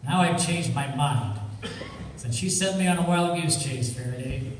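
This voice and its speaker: A man playing a cocky, wisecracking amateur sleuth, breezy even when apologizing, in an old-time radio detective drama. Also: on the high side, moderate pace, lightly acted. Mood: earnest explaining. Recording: echoey hall, distant, faint noise.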